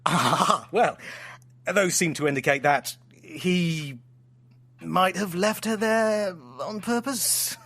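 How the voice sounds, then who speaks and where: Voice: mid-range voice, slow, a bit bright, no echo. An actor in an audio drama playing a theatrical, playful storyteller friend with hammy flourishes and sheepish, affectionate asides. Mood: sheepish, defensive.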